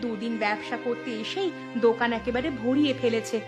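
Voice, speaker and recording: A woman's voice: fairly high pitch, a little fast, over music, close to an everyday voice. A woman voicing an anxious, plaintive village wife in an emotive moral cartoon. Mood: worried, reproachful. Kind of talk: Bengali moral cartoon dialogue.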